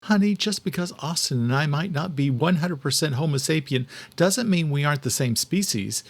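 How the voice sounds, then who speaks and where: A confident, sharp-minded woman, as a male narrator puts her on in an audiobook. The pitch is lifted, and the delivery is emphatic and insistent. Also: animated, normal speed.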